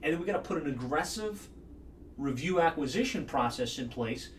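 A man in his thirties walks viewers through a tutorial in a brisk, self-assured way. His voice sits slightly back from the mic in a reverberant small office, with a faint hiss underneath. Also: a little high, lively intonation, distant mic. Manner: brisk and confident, explaining step by step. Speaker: marketing consultant. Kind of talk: screen-share tutorial. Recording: echoey room, voice a bit off-mic.

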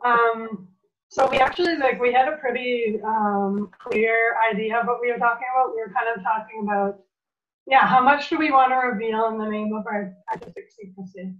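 A woman's voice: a little high, normal speed. A young Canadian woman, a musician, chatting casually in an interview over a video call. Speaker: band musician being interviewed. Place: room at home. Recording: muffled, lo-fi video call audio.

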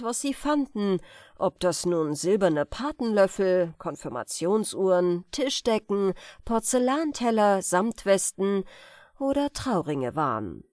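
A woman reading comic folk tales as an audiobook, telling them expressively with deliberate dramatic pauses. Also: medium pitch, a little mellow, no room sound, studio quality. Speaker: audiobook reader.

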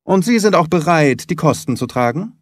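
A man voicing a quarrelsome, stingy little old lord in an audiobook, with a sharp, screechy voice and a tense, commanding manner. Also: a little high, lively intonation, moderate pace, no echo, studio quality, pitched above the performer's own voice. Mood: suspicious, demanding. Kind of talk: dramatised audiobook reading.

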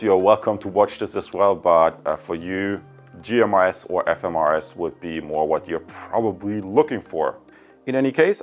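A man in his thirties giving a casual, unscripted product review in a chatty, rambling way, prone to digressions. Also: fairly low pitch. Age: 30s. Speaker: product reviewer and channel host. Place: indoors in a home room.

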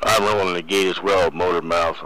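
A man's voice: sits low, fairly even intonation, a little fast, a bit bright, slight background noise, low-quality recording. A man bantering casually in slang as a CB radio operator, heard over the radio. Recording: CB radio transmission.

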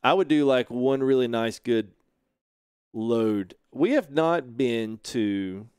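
A man's voice: medium pitch, slow, a little mellow, clean tone, dry recording, studio quality. A man in his thirties hosting a casual gameplay livestream from a home sim rig, chatting loosely, laughing often and greeting viewers through a headset mic. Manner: laid-back, chatty, laughs often, reads chat aloud. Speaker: streamer.

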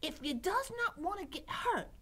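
A woman's voice: extremely high pitch, sing-song, moderate pace, bright, forceful tone, voice pushed up, exaggerated voice. A woman voicing a dim, eager young serving girl from a storybook, blunt and uneducated in her speech. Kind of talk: storybook character voice.

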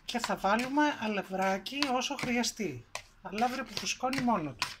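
A woman hosting a home cooking video, calmly walking through the ingredients and steps in her kitchen. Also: fairly high pitch, noisy background. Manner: calm step-by-step narration, listing ingredients. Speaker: cooking show host.

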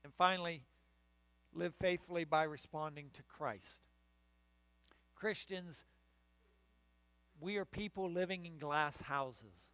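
An older man delivers a sermon from the front of a church, his voice pitched fairly high for a man. He talks on a close mic with a dry, clean sound, and he often restarts his phrases. A faint mains hum sits underneath. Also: slow pace, pauses often, fairly bright tone, dry recording. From United States. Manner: preaching while standing and gesturing, with frequent restarts. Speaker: pastor. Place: church sanctuary in front of the altar.

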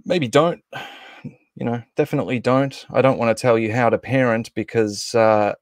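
A man's voice: medium pitch, moderate pace, dry recording. A man in his thirties or forties hosting a livestream, casually making announcements and introductions in an off-the-cuff, hesitant way. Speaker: livestream host.

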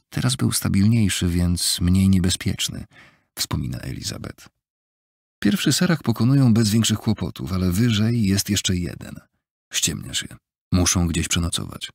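A deep-voiced man narrates an audiobook in a measured, even way. His voice is gravelly and a little husky. The recording is dry and close, with no room around it. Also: no room sound. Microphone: close studio mic, dry.

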